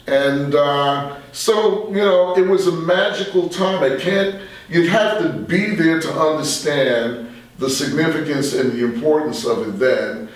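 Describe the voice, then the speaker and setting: Echoey older American man, reflective and measured. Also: a little high, far from the mic. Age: 60s. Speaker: veteran radio journalist and talk show guest. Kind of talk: reflective interview conversation. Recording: very echoey room, off the mic.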